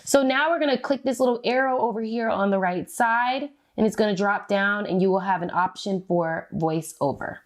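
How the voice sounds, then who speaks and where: An American woman speaks as a beauty vlogger in a chatty, informal way. Her pitch sits in the middle for a woman, and she explains things step by step with plenty of relaxed slang. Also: normal speed, hardly any pauses. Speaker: beauty vlogger giving a tutorial. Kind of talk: casual step-by-step tutorial chat.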